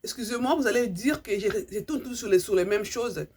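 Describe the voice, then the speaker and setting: Husky-voiced woman. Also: on the high side, brisk, a little mellow. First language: Lingala. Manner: earnest, repeats herself, hunts for words. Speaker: vlogger. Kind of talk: impassioned monologue to subscribers.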